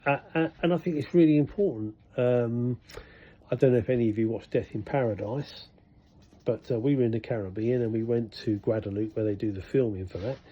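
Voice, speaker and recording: A man's voice: medium pitch, a little fast. An older man, chatting casually and rambling in a vlog, full of fillers and restarts. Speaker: vlogger. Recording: dull lo-fi sound.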